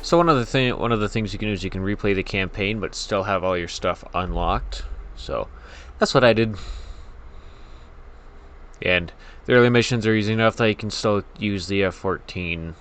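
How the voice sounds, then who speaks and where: A man's voice: on the low side, normal speed, a bit bright. A man hosting a gaming video, giving casual, rambling commentary as he plays. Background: faint game music.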